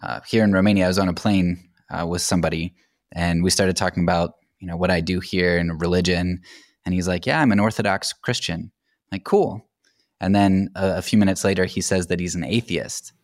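A man's voice: sits low, fairly even intonation, a little fast, no room sound, studio quality. An American man speaking as a podcast guest, explaining things in a casual, conversational and anecdotal way. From United States.

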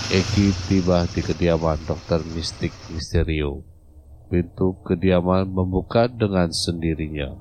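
A man's voice: deep voice, measured pace, background noise. A man narrating a scripted audio drama as a podcast storyteller.

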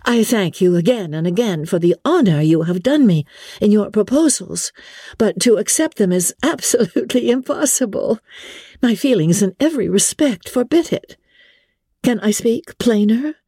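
A woman voicing a spirited young gentlewoman in a classic novel reading, earnest, emphatic and politely exasperated. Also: medium pitch, lively intonation, moderate pace, slightly rough, no echo, studio quality, pitched above the performer's own voice. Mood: earnest, firm. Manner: emphatic, earnest, stammering in protest.

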